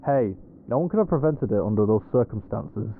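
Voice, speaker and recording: A man's voice: medium pitch, lively intonation, brisk, narrow, tinny audio, a little hiss or hum, lightly acted. A man playing a cocky, defensive ghost hunter in an audio roleplay, talking in a wry, sweary, conversational way. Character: Reggie, cocky defensive male ghost hunter, quick with excuses.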